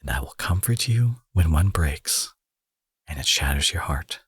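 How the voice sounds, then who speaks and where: A low-voiced villain played by a man speaks in an intimate audio drama, coaxing and possessive. His husky delivery swings widely from forceful declarations to breathy murmurs. The sound is close and dry, with a theatrical, protective menace. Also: lively intonation, unhurried, no echo, heavily acted. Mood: tender, devoted. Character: smooth protective male supervillain courting his captive rival. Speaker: audio roleplay performer.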